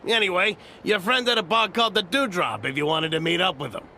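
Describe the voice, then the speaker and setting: A man's voice: high voice, a little fast, pushed and bright, slight background noise. A man playing a casual, chatty young townsman in an anime game. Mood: helpful.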